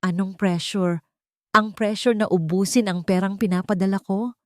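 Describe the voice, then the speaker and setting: A woman's voice: mid-range voice, fairly even intonation, moderate pace, darkish tone, dry recording, studio quality, lightly acted. A woman voicing a hurt but firm wife who stands her ground in a narrated drama story. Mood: sarcastic, angry.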